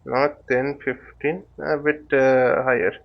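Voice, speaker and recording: A man giving an unscripted step-by-step tutorial as an instructor, halting and thinking aloud, often posing questions and answering them himself. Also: medium pitch, little pitch movement, gravelly, lo-fi sound. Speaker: flight simulator instructor. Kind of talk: unscripted tutorial with live demonstration. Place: voice-over recorded while using a flight simulator.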